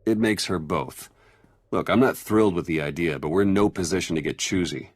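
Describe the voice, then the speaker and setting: A man's voice: low-pitched, brisk, dry recording. An actor playing a pragmatic, wry male intelligence agent in a video game, speaking in a frank, matter-of-fact way. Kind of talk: video game cutscene acting.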